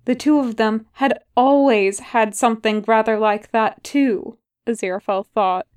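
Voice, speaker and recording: A woman reading a fan fiction story aloud in a soft, unhurried narration. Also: a little high, fairly even intonation, smooth, dry recording, clean studio sound. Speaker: podfic reader.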